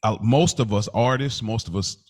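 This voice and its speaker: A man's voice: lowish voice, moderate pace, husky. A man hosting a casual podcast, posing reflective questions in slangy, conversational language.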